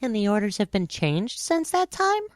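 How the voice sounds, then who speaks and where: A woman's voice: mid-range voice, big pitch swings, moderate pace, dry recording, clean studio sound, natural voice. A woman playing a curious, earnest young boy prince in a storybook audio drama, innocent and questioning.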